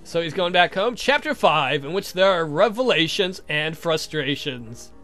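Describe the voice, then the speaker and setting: A man hosts a let's play, reading a visual novel aloud with self-mocking asides. His delivery is lively and bright, over faint music. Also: a little high, measured pace.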